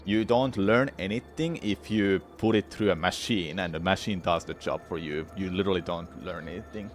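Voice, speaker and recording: A man's voice: low-pitched, a little hiss or hum. A man from Finland with a Finnish accent, chatting casually with his viewers during a game playthrough, rambling and swearing freely. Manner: rambling, frank, swears freely, many restarts. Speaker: gaming streamer.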